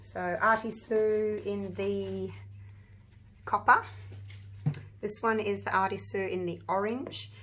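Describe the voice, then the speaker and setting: An Australian woman with a croaky, husky voice, chatting casually as she walks viewers through a resin craft step by step. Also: mid-range voice, fairly even intonation, slow pace, long pauses, a bit bright. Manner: chatty, rambling, talks through each step. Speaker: resin craft channel host. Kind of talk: casual craft tutorial talk.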